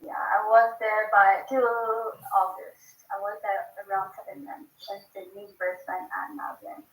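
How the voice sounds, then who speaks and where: A woman's voice: mid-range voice, steady tone, measured pace. A woman on a distant, echoey video call. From Nepal. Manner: friendly, questioning, often asks to repeat. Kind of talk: casual video call chat.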